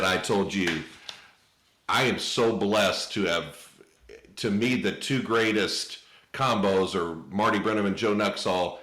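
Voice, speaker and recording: Male podcast host, lowish voice, halting casual delivery, roomy echo on the recording. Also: a bit bright. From United States, Indiana. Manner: halting, repeats words as he goes. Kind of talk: casual interview talk.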